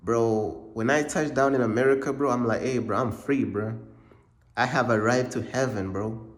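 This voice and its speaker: A man's voice: mid-range voice, steady tone, moderate pace. Laid-back young man from Uganda. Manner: laid-back, rambling, says "bro" constantly. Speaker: faith vlogger. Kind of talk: casual storytelling. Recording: echoey room.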